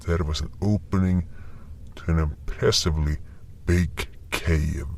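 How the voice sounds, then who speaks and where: Man playing a slow, low-voiced fantasy hunter. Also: a little mellow, slight background noise, full character voice. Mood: hushed suspense. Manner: measured, deliberate, pausing mid-sentence. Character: Neart, weary curious male monster hunter telling his tale. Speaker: narrator and character in an audio drama. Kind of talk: slow scripted first-person fantasy narration.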